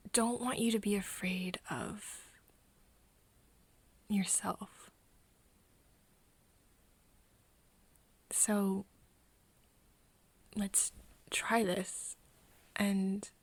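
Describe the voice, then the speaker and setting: A woman playing a caring, teasing young friend of a vampire in an ASMR roleplay, speaking softly and haltingly with whispery breath. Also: mid-range voice, steady tone, dry recording, heavily acted. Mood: gentle, reassuring. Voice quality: soft, breathy, often dropping into whispers. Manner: hesitant, halting, gently coaxing. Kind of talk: ASMR roleplay, intimate second-person acting.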